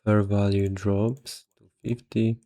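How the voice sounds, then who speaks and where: A man's voice: on the low side, flat delivery, slow, dark tone, no echo, clean studio sound. A man who sounds a little tired, casually thinking aloud as an investor working through valuations, hesitant and half muttering to himself. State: somewhat tired. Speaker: investor explaining stock valuation.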